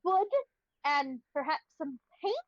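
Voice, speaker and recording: A woman voicing a polite, formal and halting fantasy adventurer in a tabletop game. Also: high-pitched, dry recording, dull audio. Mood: polite, hesitant.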